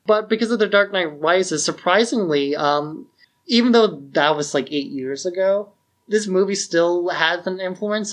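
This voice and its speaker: A man co-hosting a podcast, giving opinions in casual, conversational film discussion with "like" sprinkled through his sentences. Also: a little high. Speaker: podcast co-host.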